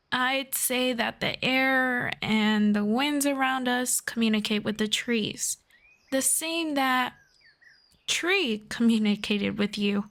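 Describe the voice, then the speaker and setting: Woman voicing a slow-spoken, gentle elf friend. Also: a little high, gravelly, full character voice. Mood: calm, thoughtful. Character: gentle, teasing young elf friend of the forest. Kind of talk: roleplay audio drama, ASMR.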